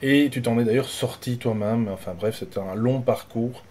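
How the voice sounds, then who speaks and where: A man hosts an interview in a relaxed, chatty way. He stumbles through his questions, full of fillers and restarts. His voice has a warm, mellow tone. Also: medium pitch, moderate pace, slight background noise. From Belgium. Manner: hesitant, many fillers and restarts. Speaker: host. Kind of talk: casual interview.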